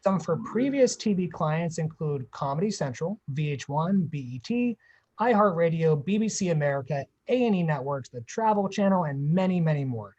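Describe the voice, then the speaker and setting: A man's voice: on the high side, expressive, moderate pace, a little mellow, highs cut off. A man from Pittsburgh guest-hosting a video panel talk, reading upbeat prepared introductions. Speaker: guest host.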